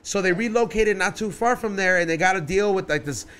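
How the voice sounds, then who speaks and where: A man hosts a casual podcast from a small neon-lit studio. He swears freely and pushes his voice up for punchlines. His tone is bright and fairly high, recorded close on a studio mic. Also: a little fast, smooth. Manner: loud, swearing storyteller who raises his voice for punchlines. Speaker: podcast host. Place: small studio room decorated with neon signs. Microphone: studio mic on boom arm, wearing headphones.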